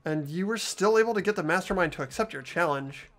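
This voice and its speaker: Man playing an earnest teenage boy, lively pitch. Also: quick pace. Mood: amazed, unsure. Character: earnest, anxious teenage boy, a hesitant student. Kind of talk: fan voiced visual novel playthrough.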